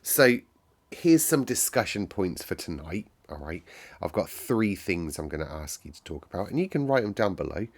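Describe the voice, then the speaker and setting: A man with a lowish voice hosts a children's read-aloud. He is expressive and informal, speaking straight to the listener as a storyteller. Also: moderate pace. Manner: animated, informal, addresses listeners directly. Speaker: storytime reader and host.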